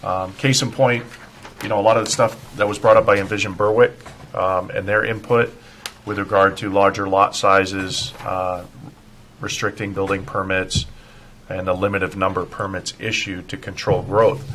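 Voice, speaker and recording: Low-voiced man speaking at a board meeting. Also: level tone, normal speed, fairly bright tone, a little hiss or hum. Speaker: planning board member. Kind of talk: informal discussion in a public meeting. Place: indoor municipal meeting room.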